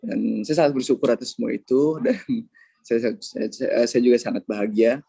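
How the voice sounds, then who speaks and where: A young man from Indonesia with an Indonesian accent, telling his story hesitantly in a video call webinar and filling pauses with "eh". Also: medium pitch, gravelly, warm tone, husky, no room sound. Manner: hesitant, many filler sounds, mixes in English. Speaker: scholarship awardee speaker. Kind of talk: webinar talk.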